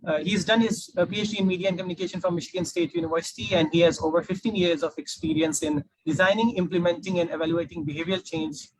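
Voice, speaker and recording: High-pitched Pakistani man hosting a panel. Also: animated, fast talker, few pauses, slightly rough, dry recording, rough recording. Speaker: panel host, alumni outreach officer. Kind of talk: informal live session moderating.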